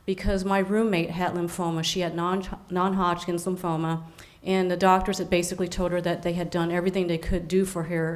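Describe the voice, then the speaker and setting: A middle-aged woman from San Francisco giving an earnest personal testimony at a conference, with frequent fillers and restarts. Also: lowish voice. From San Francisco, USA. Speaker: conference speaker.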